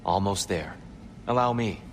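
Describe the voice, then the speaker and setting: An actor playing a gentle, wise old god in a video game, speaking in a measured, formal, thoughtful way. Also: male voice, on the low side, background noise, natural voice. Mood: calm wonder.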